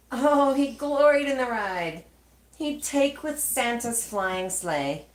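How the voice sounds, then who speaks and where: A woman reading a children's storybook aloud expressively, with measured, dramatic phrasing and pauses. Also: fairly high pitch. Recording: echoey, roomy sound.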